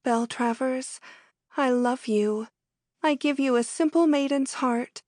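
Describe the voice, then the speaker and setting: Sweet young maiden voiced by a woman, high and slow, innocent, tenderly devoted, for romantic storybook drama. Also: a little mellow, dry recording. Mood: adoring. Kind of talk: dramatic audiobook reading.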